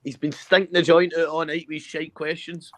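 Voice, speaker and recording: A man's voice: on the high side, little pitch movement, normal speed, fairly bright tone. A man with a Scottish accent bantering casually, teasing and swearing as he goes. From Scotland.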